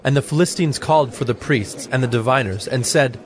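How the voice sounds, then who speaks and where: A man narrating scripture from a script in a measured, solemn manner. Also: mid-range voice.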